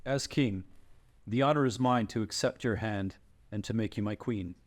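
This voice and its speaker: A man's voice: fairly low pitch, normal speed, natural voice. A man playing a proud, grave dragon-shifter king in a screenplay table read, with a formal, stately delivery. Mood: insistent, formal.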